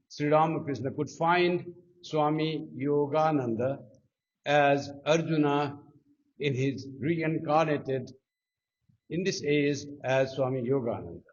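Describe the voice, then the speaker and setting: Nepali-accented monk, fairly high voice, slow emphatic delivery, muffled lo-fi recording. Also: male voice, a little mellow. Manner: slow, emphatic, lifting pitch on key words. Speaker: monk giving a spiritual talk. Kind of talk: devotional talk with sung Sanskrit chant. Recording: dull lo-fi sound.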